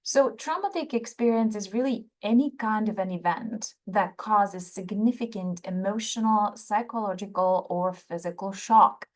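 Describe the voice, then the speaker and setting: A middle-aged woman with a Czech accent, a psychologist giving a prepared webinar lecture in a measured, explanatory way, often starting with "so" and pausing on "um". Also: mid-range voice. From Czech Republic.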